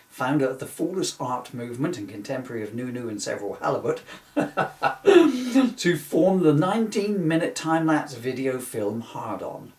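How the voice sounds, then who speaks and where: A man's voice: medium pitch, a little mellow. Echoey man reading, jokey and rambling. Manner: jokey, self-mocking, rambling. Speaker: author reading from own book. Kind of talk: informal reading with ad-lib asides. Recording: echoey room, distant mic.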